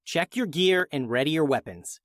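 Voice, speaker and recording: A man's voice: fairly high pitch, lively intonation, normal speed, fairly bright tone, no echo. A steely young woman in command of a space crew, as a male storyteller reads her. She speaks steadily and grimly, giving orders in a children's adventure tale. The recording is dry and close.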